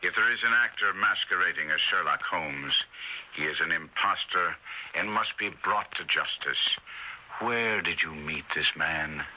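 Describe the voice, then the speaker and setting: An actor playing an imperious, pompous detective in a radio mystery drama, speaking with theatrical precision. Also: male voice, deep voice, a little slow, noisy background. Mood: impatient. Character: imperious eccentric detective, brisk, self-assured older man. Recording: radio drama broadcast sound.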